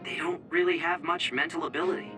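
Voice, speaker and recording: A man's voice: a little high, steady tone, quick pace, distant mic, over music, natural voice. A man playing a cheeky, informal young tech-savvy comrade in a video game cutscene. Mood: teasing.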